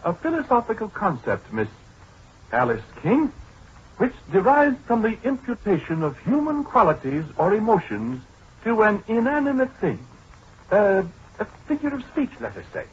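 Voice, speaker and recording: An actor playing a proud, pedantic scientist in a 1940s radio drama, lecturing precisely with small hesitant interjections. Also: male voice, high voice, huge pitch swings, normal speed, breathy edge, full character voice. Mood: condescending, pedantic. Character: proud, pedantic male scientist showing off a giant computer. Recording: dull, muffled 1940s radio broadcast.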